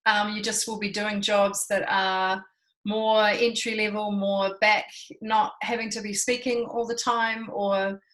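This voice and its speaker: A woman in her thirties from New Zealand with a New Zealand accent, co-hosting a casual chat in a relaxed, reassuring, fluent way. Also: mid-range voice, a little slow. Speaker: co-host.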